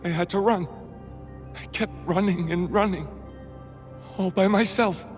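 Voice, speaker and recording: An actor playing an anguished, guilt-ridden rescue worker in a video game, speaking haltingly. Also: male voice, high-pitched. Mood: shaken. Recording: faint music behind the voice.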